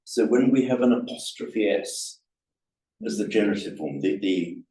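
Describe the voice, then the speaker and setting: Mellow-voiced man teaching, far off. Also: lowish voice, animated, moderate pace. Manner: patient, repeats words while thinking. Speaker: Pali teacher. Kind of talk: classroom explanation of grammar. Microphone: room recorder, away from the speaker. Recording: echoey room from a distance.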